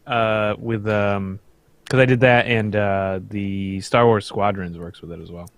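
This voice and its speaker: A man's voice: on the low side, steady tone, unhurried, clear, resonant tone, no echo. A young man chatting casually in an encouraging, conversational way. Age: young adult. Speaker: show participant. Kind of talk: casual chat.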